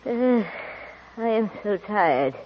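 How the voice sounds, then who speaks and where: A woman's voice: medium pitch, animated, takes it slowly. Casting: a tired, easily frightened aged noblewoman for old-time radio, dramatic delivery, muffled sound with faint noise. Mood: weary, gentle. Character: weary, frightened old countess guarding a cursed secret. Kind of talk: 1940s radio drama acting. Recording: dull, noisy old radio recording.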